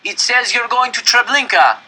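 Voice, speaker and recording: A man's voice: very high voice, expressive, normal speed, bright, forceful tone, husky, pitched above the performer's own voice, exaggerated voice. A man voicing a desperate male prisoner calling out across a distance in an audiobook. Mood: urgent calling. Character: desperate male prisoner shouting between trains. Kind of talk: audiobook character voice.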